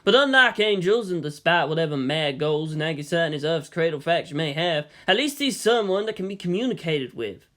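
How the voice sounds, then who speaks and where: A narrator playing a weary male army general, formal and measured, who reasons and pleads firmly. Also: medium pitch, animated, fairly bright tone, close to an everyday voice. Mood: measured, reasoning. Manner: measured, official, placating.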